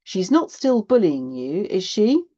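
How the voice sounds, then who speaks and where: A woman's voice: mid-range voice, sing-song, measured pace, dark tone, highs cut off, pitched above the performer's own voice. A woman voicing a weary, hard-up single mum in a children's audiobook, worried but firm, with a blunt, matter-of-fact manner. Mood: concerned. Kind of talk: acted children's audiobook dialogue.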